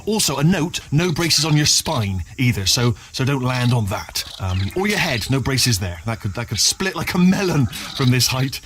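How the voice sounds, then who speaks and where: An actor playing a chatty, anxious, bumbling little robot in a video game, with an English accent and a stammering, rambling way of over-explaining. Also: male voice, mid-range voice, big pitch swings, normal speed, few pauses, fairly bright tone, slight background noise. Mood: nervous rambling. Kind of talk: video game character dialogue.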